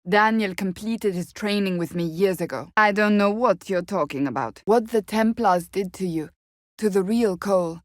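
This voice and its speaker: Stern, low-voiced warrior woman played by an actress. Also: no echo, clean studio sound, natural voice. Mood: earnest. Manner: formal, measured, earnest diction. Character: stern, devout, forthright warrior woman of strong convictions. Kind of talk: fantasy video game voice acting.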